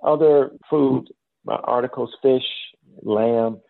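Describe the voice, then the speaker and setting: A middle-aged American man from Chicago giving explanatory, measured answers as an interview guest, often dropping in "you know". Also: mid-range voice, steady tone, creaky, dry recording, phone-quality sound. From south side of Chicago, United States. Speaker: interview guest, business school dean.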